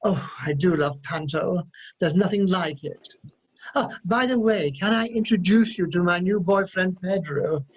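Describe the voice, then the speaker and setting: A man's voice: high-pitched, lively intonation, unhurried, slightly rough, heavily acted. A man playing a camp, gushing pantomime enthusiast in a comic radio play, effusive and theatrical. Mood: gushing, nostalgic. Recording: slightly dull video call audio.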